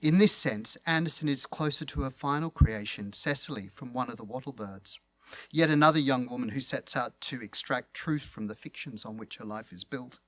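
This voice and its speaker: A man reading a prepared literary talk aloud as a speaker and host, in measured, formal and eloquent phrasing. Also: mid-range voice, a bit bright, dry recording.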